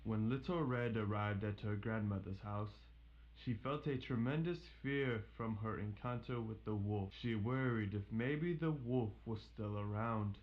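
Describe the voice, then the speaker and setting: A man's voice: on the low side, measured pace, muffled recording. A man reading a scripted fairy tale as the storyteller.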